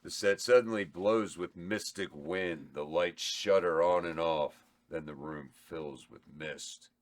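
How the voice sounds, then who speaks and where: Deep-voiced man reading stage directions. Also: slow. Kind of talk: reading a script aloud.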